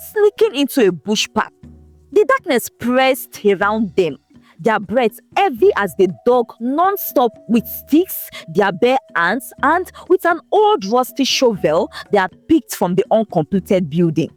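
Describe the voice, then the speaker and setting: A woman narrating a folktale in a scripted, expressive, dramatic storytelling manner. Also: fairly high pitch, unhurried, faint music behind.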